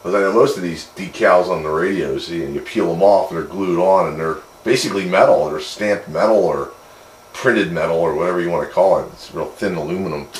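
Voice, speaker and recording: A man talking casually on two-way radio, plainspoken and a little halting. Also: deep voice. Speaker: radio hobbyist. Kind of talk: casual chat over radio. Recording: roomy echo, faint radio noise.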